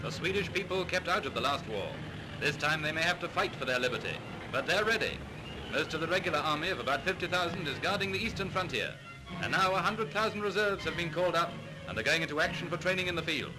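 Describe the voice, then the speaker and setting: A man giving brisk, emphatic wartime newsreel commentary. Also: fairly high pitch, lively intonation, rough recording. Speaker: newsreel narrator. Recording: vintage newsreel soundtrack with music.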